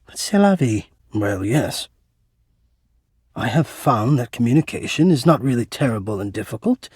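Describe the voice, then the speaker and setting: A man's voice: medium pitch, sing-song, moderate pace, darkish tone, breathy edge, no room sound, studio quality. A man voicing a proud, wounded, dramatic male household climate spirit in a video game, with formal, florid, old-fashioned diction. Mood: guarded, wistful. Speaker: voice actor. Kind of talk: video game character acting.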